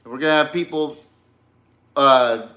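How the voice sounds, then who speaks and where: A man's voice: a little high, measured pace, lo-fi sound. A man hosting a live sports podcast, talking casually and emphatically, as an opinionated fan who swears freely. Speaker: podcast host.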